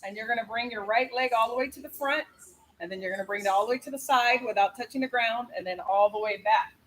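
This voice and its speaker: A woman's voice: on the high side, fast talker, fairly bright tone, slight background noise, rough recording. A young woman personal trainer in casual, blunt chat, swearing and laughing easily, then coaching a workout.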